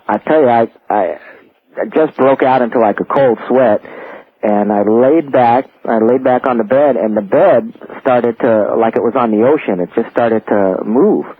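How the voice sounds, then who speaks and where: A man's voice: mid-range voice, lively intonation, moderate pace, breathy edge. A man calling a radio show by phone, casually telling a strange personal story. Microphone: telephone. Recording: tinny narrow phone line.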